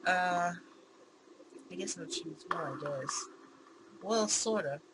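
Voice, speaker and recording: A woman's voice: fairly low pitch, slow pace. A woman gaming YouTuber reading a visual novel aloud, with bemused, giggly reactions in between. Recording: clear background noise.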